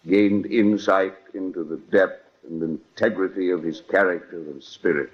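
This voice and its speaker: An actor playing a confident, unflappable defense lawyer in an old radio drama, measured and formal. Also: male voice, lowish voice, natural voice. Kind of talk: 1940s radio acting. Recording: muffled old radio recording.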